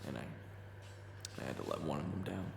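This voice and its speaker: A man playing a weary boss with a buried temper in a dramatic audio roleplay, gravelly and brooding. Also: rumbling low, expressive, moderate pace, reverberant, background noise, heavily acted. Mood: brooding, resigned. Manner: brooding when narrating, exasperated in dialogue. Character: weary reformed hot-tempered man, a soft-pushover boss. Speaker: narrator and lead character.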